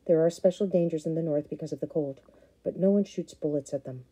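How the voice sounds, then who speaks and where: A woman voicing a calm, plainspoken male herder from the far north who talks steadily. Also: fairly high pitch, a little fast, breathy edge.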